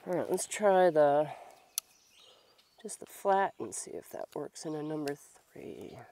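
A young woman talking casually to herself while she works at a grassy campsite, slow and thinking aloud, a little congested from a long cold. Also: low-pitched, expressive, mellow, a little hiss or hum. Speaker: bushcraft vlogger. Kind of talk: casual talk while working. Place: outdoors at a grassy campsite under a tarp. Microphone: camera on a tripod a few meters away.

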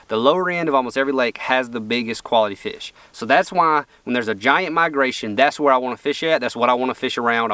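A man in his thirties with a drawl talks easily and casually, full of "kinda" and "you know", as he explains his approach. His voice sits at a medium pitch. The sound is dry and open-air, picked up from a little way off the mic on a boat. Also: fairly bright tone, dry recording, distant mic. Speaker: pro bass angler. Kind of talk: casual instructional vlog. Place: outdoors on a boat on a lake.

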